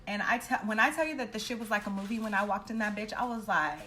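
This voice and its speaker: A woman's voice: mid-range voice, fast talker. A young woman telling a personal story in a casual, chatty, dramatic way, with slangy, blunt talk and free swearing. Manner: animated, dramatic re-enacting of dialogue. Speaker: storytelling vlogger.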